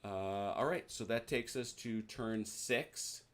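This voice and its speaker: A man's voice: on the low side, takes it slowly, fairly bright tone. A man hosting a video call, casually walking players through the rules of a tabletop wargame with plenty of restarts. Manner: chatty, explains rules with many restarts and "uh"s. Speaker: host teaching a tabletop wargame.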